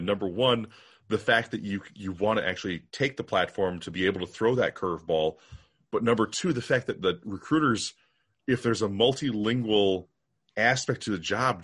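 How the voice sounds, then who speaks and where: A man's voice: on the low side, a bit bright, slightly rough. A man with an American accent hosting an interview in a casual, wordy and enthusiastic way. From United States. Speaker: podcast host.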